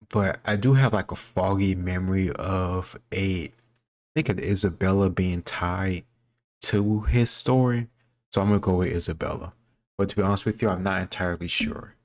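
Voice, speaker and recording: Very low-pitched man, casual commentary. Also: steady tone, a little slow. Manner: conversational, thinking aloud, self-doubting. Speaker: host reacting to a history quiz. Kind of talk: casual commentary, answering quiz questions.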